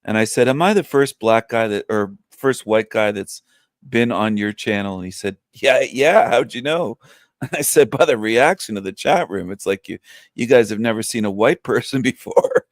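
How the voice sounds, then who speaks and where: A man's voice: fairly low pitch, moderate pace, dry recording, studio quality. An older man hosting a casual livestream, rambling and joking with a strong voice that sounds younger than his age. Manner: rambling, joking, laughs often. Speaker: livestream host. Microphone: webcam setup, camera off.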